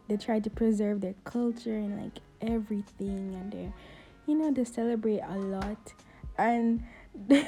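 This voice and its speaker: A woman's voice: on the high side, mellow. A nineteen-year-old woman from Jamaica with a Jamaican accent, chatting casually in a Q&A vlog, laughing often and filling with "you know" and "like". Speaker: vlogger.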